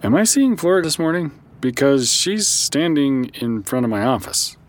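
A man's voice: mid-range voice, sing-song, normal speed, close to an everyday voice. A man playing an educated, curt and guarded doctor in naturalistic audio drama. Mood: guarded, businesslike. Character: educated, guarded, dryly irritable male doctor.

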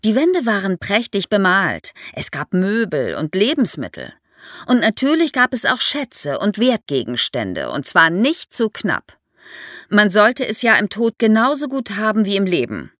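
A woman speaking as an expert podcast guest, explaining things vividly and in clear order for young listeners. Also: medium pitch, huge pitch swings, unhurried, a bit bright, dry recording, clean studio sound.

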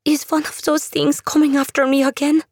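A woman's voice: high voice, level tone, moderate pace, darkish tone, no room sound, clean studio sound, pitched above the performer's own voice, lightly acted. A woman voicing a frightened, wary young woman who keeps asking anxious questions. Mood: fearful.

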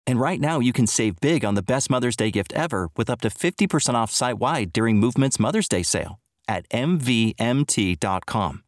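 Male ad reader, medium pitch, very varied intonation, scripted promo, dry close sound. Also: lively intonation, fairly quick, dry recording. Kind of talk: scripted podcast ad read.

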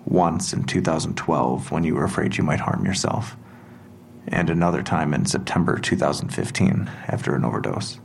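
An actor playing a calm, probing police interrogator in an audio drama, measured, polite and softly relentless. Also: male voice, very deep voice, gravelly, a little hiss or hum. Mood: clinical, insistent. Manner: measured, softly insistent, matter-of-fact. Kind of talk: full-cast audio drama acting.

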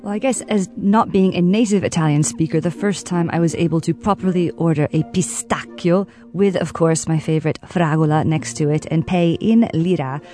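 A woman's voice: lowish voice, fairly even intonation, moderate pace, few pauses, dark tone, soft background music. Upbeat woman telling anecdotes. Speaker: guest. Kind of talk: radio interview chat.

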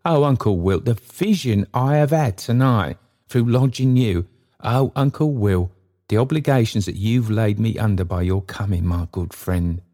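A man playing an older father bursting with joy, his voice lifted high and exclaiming. He dotes on his family. It is a storybook reading by a low-voiced man. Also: normal speed, close to an everyday voice. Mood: jubilant. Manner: excited, exclaiming. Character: elderly man, overjoyed and doting father.

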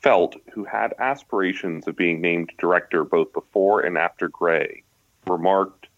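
An American man with a deep voice reads from a nonfiction book as a guest author. The sound is dry, with little room around it, and slightly muffled in fidelity. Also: a bit bright, dry recording. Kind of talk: reading a nonfiction book passage aloud. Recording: slightly dull, lo-fi audio.

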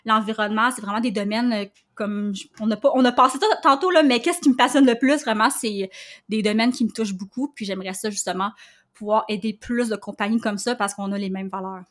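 A woman with a Québécois French accent, chatting as a podcast guest, animated and full of fillers. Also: medium pitch, fairly quick. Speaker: guest copywriter. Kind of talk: casual podcast conversation.

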